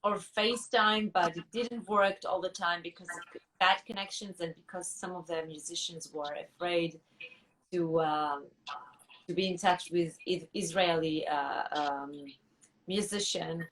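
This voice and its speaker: A woman musician answering interview questions thoughtfully, pausing and restarting often as she searches for words. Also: lowish voice, fairly even intonation, fairly bright tone, recorded from a distance, low-quality recording. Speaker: musician guest.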